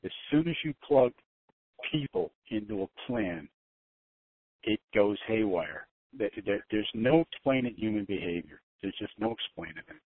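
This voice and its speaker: An older man talking in a rambling way on a conference call, halting and often repeating his words as he restarts. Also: on the low side, animated, husky. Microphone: phone conference call. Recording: narrow tinny phone line.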